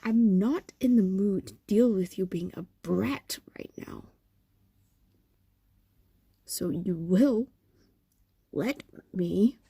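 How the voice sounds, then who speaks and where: A woman's voice: mid-range voice, dark tone, husky, no room sound, exaggerated voice. A woman voicing an ancient, possessive and doting fox demon in an intimate roleplay audio drama, coaxing and condescending, often slipping into whispers. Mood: stern, menacing.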